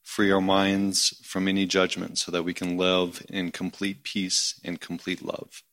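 Male prayer leader: very low voice, little melodic movement, solemn recitation in measured phrases. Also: monotone, a bit bright.

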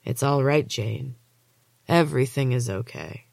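A woman voicing an enormous male orderly in an audiobook, calm and controlling, with a low, gravelly voice. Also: measured pace, no echo, exaggerated voice. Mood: soothing menace.

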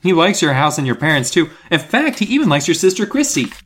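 Man doing a flustered, high-pitched businesswoman. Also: very animated, fast talker, fairly bright tone, husky, full character voice. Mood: hesitant explaining. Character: flustered, apologetic woman running a body-swap business.

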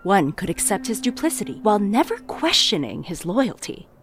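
A woman playing a wry, knowing storyteller of a dark court tale, arch and theatrical, in an audio play. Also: medium pitch, big pitch swings, normal speed. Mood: wry, admiring. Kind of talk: dramatic narration in an audio play.

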